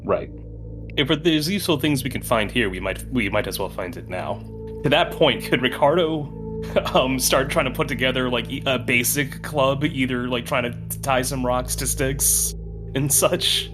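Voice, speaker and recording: A man's voice: mid-range voice, moderate pace, music in the background. A man chatting casually as a player in a tabletop game, describing what his character does.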